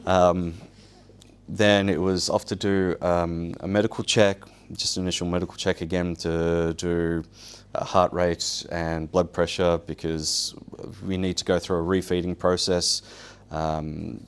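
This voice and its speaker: Very low-pitched man telling informal stories. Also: flat delivery, unhurried, clean tone. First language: Polish. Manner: casual, self-deprecating, wry. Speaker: guest speaker, survival show winner. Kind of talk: informal first-person storytelling to an audience.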